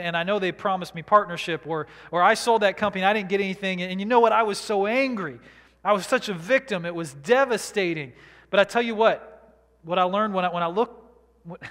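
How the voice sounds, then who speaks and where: A man with a fairly high voice preaches to his congregation in a loose, conversational way, doubling back on words and slipping into quoted voices. His voice rings out in a church hall with a long reverb tail. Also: a bit bright. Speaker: pastor. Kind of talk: sermon. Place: church sanctuary.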